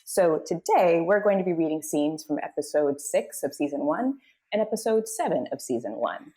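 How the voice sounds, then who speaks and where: A woman's voice: low voice, expressive, moderate pace, mellow. A woman hosting a live table read and narrating the scripted scenes. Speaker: host and narrator.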